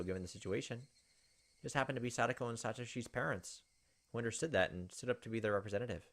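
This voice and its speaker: A man's voice: medium pitch, fairly bright tone. A young man hosting a gaming video, reading a visual novel aloud with casual asides.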